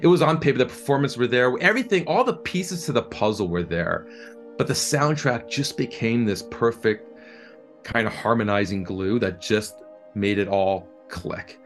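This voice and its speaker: A man's voice: medium pitch, expressive, normal speed, faint music behind. A man being interviewed as a producer, talking earnestly and enthusiastically, often repeating words as he searches for his phrasing. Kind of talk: reflective interview talk.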